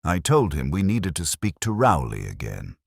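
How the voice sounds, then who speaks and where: Very deep-voiced man narrating a detective story. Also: wildly swooping pitch, gravelly, dry recording, clean studio sound. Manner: solemn, literary, measured phrasing. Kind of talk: scripted first-person detective story narration.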